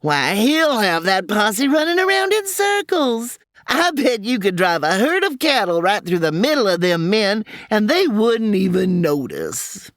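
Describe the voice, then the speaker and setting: A woman's voice: mid-range voice, sing-song, unhurried, few pauses, no room sound, studio quality. A woman playing a folksy old frontier landlady. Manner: folksy, homespun. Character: motherly old frontier boarding-house keeper. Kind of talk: comedy audio drama acting.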